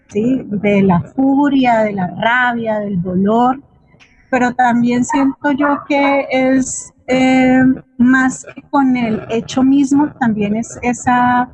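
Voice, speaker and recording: Husky, slow-paced Colombian woman. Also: on the high side, darkish tone, dry recording. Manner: thoughtful, hesitant, seeks agreement with tag questions. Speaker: guest playwright and drama teacher. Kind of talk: reflective forum talk. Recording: muffled lo-fi audio.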